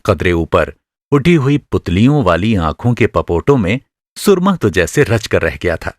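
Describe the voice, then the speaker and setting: A male storyteller narrates a radio drama in a low voice with very lively intonation. The delivery is literary and dramatic, and the sound is dry and close. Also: no echo.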